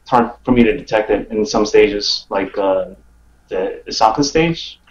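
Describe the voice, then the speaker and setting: A man's voice: lowish voice, unhurried, lo-fi sound, slight background noise. A man chatting casually as a gaming podcast guest, putting his thoughts out hesitantly in short pieces. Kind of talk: casual chat.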